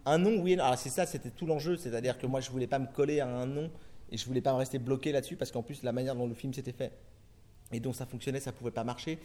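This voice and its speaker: Fast-talking, rambling French man. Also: medium pitch, fairly even intonation, warm tone. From France. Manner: rambling, many restarts and fillers. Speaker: film director. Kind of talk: interview answers.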